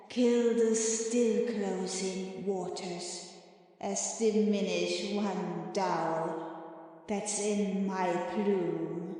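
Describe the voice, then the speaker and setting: Woman acting a very slow, echoing avenging spirit. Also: mid-range voice, warm tone. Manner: slow, deliberate, portentous delivery with long pauses. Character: airy spirit Ariel disguised as a harpy, solemn, condemning. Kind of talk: dramatic Shakespeare audiobook reading. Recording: very echoey long reverb, faint music.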